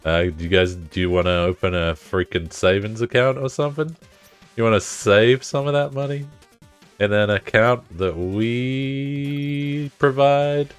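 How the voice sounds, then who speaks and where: A man putting on the voice of a pushy male bank clerk, mocking a wheedling sales pitch. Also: unhurried, clear, resonant tone, faint music behind, natural voice.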